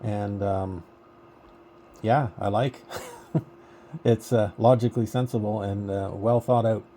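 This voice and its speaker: Low, mellow man musing over noisy radio. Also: fairly even intonation, slow. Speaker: amateur radio operator. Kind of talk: casual radio chat. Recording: noisy amateur radio digital voice contact.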